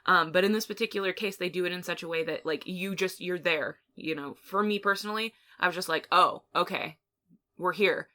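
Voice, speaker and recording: Female podcast co-host, lowish pitch, bright tone, loose conversational discussion. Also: quick pace, hardly any pauses. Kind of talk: casual film discussion.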